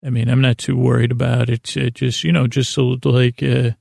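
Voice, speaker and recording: A man hosting a sleep podcast, rambling gently with many fillers and restarts. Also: medium pitch, level tone, moderate pace, creaky, no echo, clean studio sound. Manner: soft and gentle. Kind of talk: rambling bedtime podcast intro.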